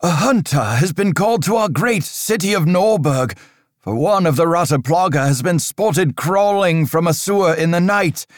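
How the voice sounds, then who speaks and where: A man voicing a fearful old priest whose shrill voice quivers as he preaches and accuses. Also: lively intonation, normal speed, dry recording, studio quality, natural voice. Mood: stern, worried. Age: older.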